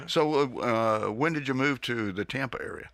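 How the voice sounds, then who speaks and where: A man's voice: mid-range voice, a little slow, fairly bright tone. A man hosting a live radio interview from a recording studio, chatting easily with his guest and asking questions into a studio microphone. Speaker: radio show host.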